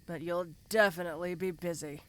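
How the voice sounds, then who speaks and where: A woman's voice: fairly low pitch, steady tone, a little fast, no echo, lightly acted. A woman voicing a domineering giant ogre mercenary in an audio roleplay, speaking to the listener in a casual, commanding, teasing way. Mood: reassuring, teasing. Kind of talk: first-person audio roleplay addressed to the listener.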